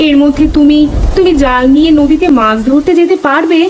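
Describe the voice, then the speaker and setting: A woman voicing a caring, mildly worried village wife in an animated folk tale, speaking expressively. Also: high-pitched, fairly quick, close to an everyday voice. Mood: concerned. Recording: clear background noise.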